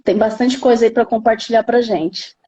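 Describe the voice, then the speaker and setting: A woman's voice: fairly high pitch, fairly even intonation, moderate pace, dark tone, breathy edge. A Brazilian woman with a São Paulo accent hosts a casual live chat, interviewing a friend. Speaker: host of a live interview series. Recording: slightly dull lo-fi live-stream audio.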